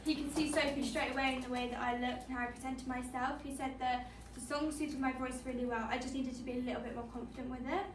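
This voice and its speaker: A woman's voice: high voice, fairly even intonation, fast talker. A young woman leading a casual group recap, chatty and eager with lots of fillers. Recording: echoey room, far mic, faint noise.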